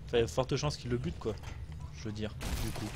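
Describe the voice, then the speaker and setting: A hoarse man streaming a shooter game, grumbling, swearing and chatting with viewers as he plays. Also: mid-range voice, quick pace, very noisy. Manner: grumbling, swears often, talks to chat. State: hoarse from a cold. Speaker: gaming streamer. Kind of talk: casual live commentary while playing. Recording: game music playing loudly behind. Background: game music and gunfire.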